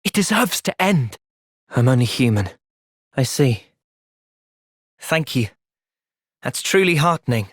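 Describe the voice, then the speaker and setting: An actor voicing an earnest, thoughtful young male soldier and leader in a video game, with an English accent and a measured delivery. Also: medium pitch, sing-song, fairly bright tone, dry recording, studio quality, heavily acted. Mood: reflective. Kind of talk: video game cutscene acting.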